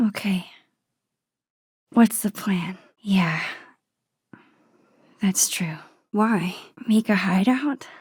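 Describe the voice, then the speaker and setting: A woman playing a soft-spoken, introspective teenage girl in a video game, wistful and hesitant, with a whispery voice. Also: mid-range voice, extremely slow, pauses often, breathy edge, no room sound, clean studio sound, heavily acted. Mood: warm, casual. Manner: hesitant, gentle, musing to herself. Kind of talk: naturalistic video game dialogue and inner monologue.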